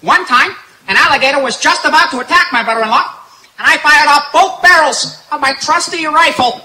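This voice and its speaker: A man's voice: very high-pitched, a little fast, full character voice. A man playing a bumbling, wisecracking sidekick in an old-time radio comedy double act, exclaiming and landing punchlines. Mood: cocky, joking. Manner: loud, exclaiming, punchline delivery. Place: outdoor night stage in woods, far camera. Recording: echoey outdoor stage with background noise.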